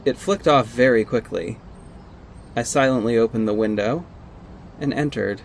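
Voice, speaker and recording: A man's voice: mid-range voice, creaky, slight background noise, close to an everyday voice. Guarded, sardonic male vigilante, played by an actor. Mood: wry, brooding. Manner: measured, terse, exasperated. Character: guarded, sardonic costumed vigilante, heartbroken and stubborn. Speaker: narrator and lead actor. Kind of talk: first-person audio drama narration and dialogue.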